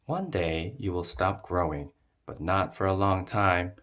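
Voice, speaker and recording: A man reading as a low, kind schoolteacher lady. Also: a little slow, a bit bright, highs cut off. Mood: reassuring. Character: kind, encouraging woman schoolteacher in children's story.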